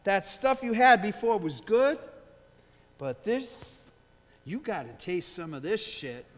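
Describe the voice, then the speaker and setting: A man's voice: high-pitched, very animated, slow, exaggerated voice. A man playing a swaggering, seductive drug dealer in a jazz bar, coaxing and taunting in streetwise slang, in a theatrical staged reading. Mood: coaxing, sly. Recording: echoey theater hall with music.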